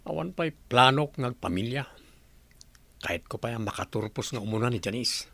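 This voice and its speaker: An actor playing a young womanizer in a radio drama, cocky but protective, with a creaky voice. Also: mid-range voice, animated, moderate pace, fairly bright tone, dry recording. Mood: callous, unrepentant. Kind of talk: radio drama acting in Ilocano.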